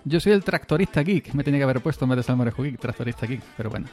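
A man in his forties talks through a live stream in a loose, rambling way, doubling back on his words and chuckling between thoughts. His voice sits at an everyday middle pitch and is picked up on a desk mic through an audio interface, with faint music playing underneath. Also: expressive, racing, darkish tone. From Granada, Andalusia, Spain. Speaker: podcast host on a live stream. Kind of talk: casual live-stream chat. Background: faint background music.

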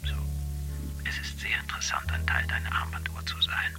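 An actor playing a dutiful male wristwatch memory assistant in a radio play, whispering everything in a flat manner and confirming each item as noted. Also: brisk, natural voice. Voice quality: whispered, toneless. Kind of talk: radio drama acting. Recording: music under the voice.

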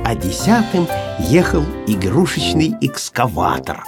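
Animated man narrating a children's story. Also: mid-range voice, a little slow, over music. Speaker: audiobook narrator.

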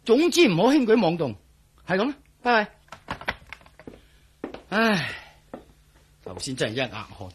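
An actor playing a worried, blustery police officer in a radio drama, speaking Hong Kong Cantonese with emphatic, sighing delivery. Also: male voice, high-pitched, huge pitch swings, unhurried, pauses often, a little hiss or hum, exaggerated voice. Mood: weary.

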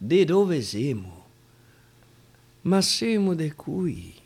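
A man's voice: a little high, sing-song, extremely slow, creaky, darkish tone, breathy edge, full character voice. A man voicing a blunt, naive feather-crested native in Roman dialect for a comic verse stage tale, guitar behind. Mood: blunt, puzzled. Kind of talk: comic theatrical verse storytelling.

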